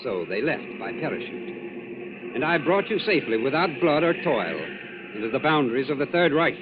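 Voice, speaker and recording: An actor playing a smug, fanatical young Nazi saboteur in a 1940s radio drama, formal, precise and theatrically gloating. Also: male voice, on the high side, big pitch swings, normal speed. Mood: smug, controlled. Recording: muffled, noisy old radio broadcast.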